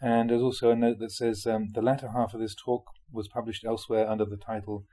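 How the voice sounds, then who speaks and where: A man reading a spiritual talk aloud in a measured, explanatory way, often posing rhetorical questions. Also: on the low side, little pitch movement, warm tone. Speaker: monk reading dharma talks aloud.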